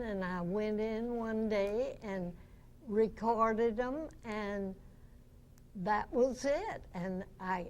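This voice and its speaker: A woman in her nineties, a guest reminiscing in an unhurried, anecdotal way before a club audience. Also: a little high. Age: 90s. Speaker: guest, veteran radio actress and singer. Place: club meeting room with audience. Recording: noisy live meeting-room recording.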